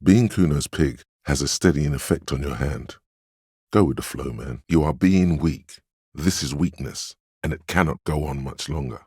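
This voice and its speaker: An actor playing the inner voice of a detective's willpower in a video game, steadfast, protective and wry, speaking in a measured, calmly commanding way. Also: male voice, extremely low pitch, breathy edge, no room sound, studio quality. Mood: firm, warning. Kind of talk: scripted game dialogue, second-person inner monologue.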